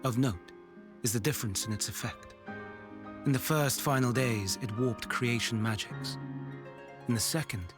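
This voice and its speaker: A man acting as an ancient, learned figure in a fantasy game. He explains things gravely and formally, in measured phrases at a middling pitch. Soft music plays underneath. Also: close to an everyday voice. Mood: grave, thoughtful. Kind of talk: video game cutscene acting.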